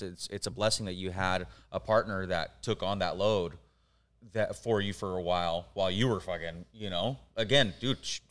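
A man's voice: fairly low pitch, fairly bright tone. A young man co-hosting a podcast, talking in a laid-back, slangy way. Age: young adult. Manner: laid-back, slangy, says dude a lot. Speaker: podcast co-host. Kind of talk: casual podcast interview.

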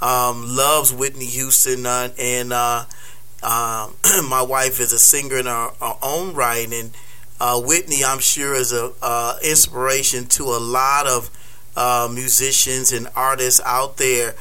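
A man hosting a radio show, interviewing a guest in a slow, halting way with many fillers. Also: medium pitch, steady tone, pushed and bright, clean tone.

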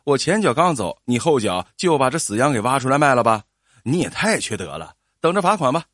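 A man playing a stern village insurance adjuster, brisk, officious and quick to scold, in an audio story. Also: on the high side, very animated, fairly bright tone, no echo, clean studio sound. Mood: indignant.

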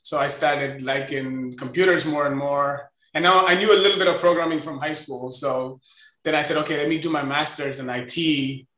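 Bright-voiced Indian-accented man in an echoey room. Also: fairly high pitch, steady tone, moderate pace. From Chennai, India. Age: 40s. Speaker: interview guest, company co-founder. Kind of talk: casual conversational storytelling.

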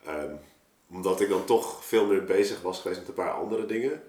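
Lowish-voiced man, echoey and distant. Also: a little fast, darkish tone. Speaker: artist, podcast guest. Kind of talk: casual podcast discussion. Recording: echoey room, off the mic.

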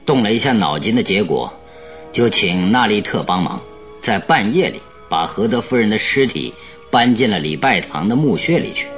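A man's voice: on the low side, animated, moderate pace, a bit bright. An actor playing a confident male detective in a radio drama, courteous and masterful as he lays out his deductions. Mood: confident, expository. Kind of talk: Chinese radio drama acting. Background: background music.

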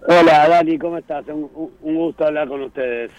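A man's voice: fairly high pitch, steady tone, normal speed, dry recording. A male journalist, speaking by phone in an interview and hesitating often with fillers and restarts. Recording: tinny phone line.

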